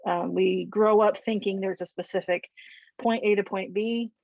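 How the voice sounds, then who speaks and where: A woman hosts an interview show, introducing her guest with effusive, rambling enthusiasm. Her voice sits at a middle pitch. The recording is dry but a little dull and muffled. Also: steady tone, normal speed, creaky, darkish tone, no echo. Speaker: show host. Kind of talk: casual interview hosting.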